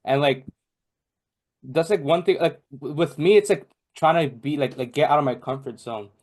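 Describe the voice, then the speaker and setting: A man's voice: on the high side, moderate pace, a little mellow. A young man from Bangladesh, a podcast guest in casual chat, rambling with many fillers and restarts. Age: young adult.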